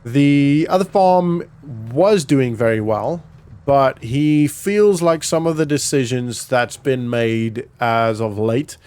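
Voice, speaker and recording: A man's voice: medium pitch, takes it slowly, a little mellow, smooth. A man giving casual, rambling vlog commentary while he plays a game, often correcting himself as he goes. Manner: rambling, self-correcting, chatty. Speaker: gaming video host.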